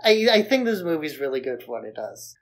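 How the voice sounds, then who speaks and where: A man's voice: fairly high pitch, sing-song, brisk. A man co-hosting a podcast, discussing films in a casual, conversational style. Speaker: podcast co-host.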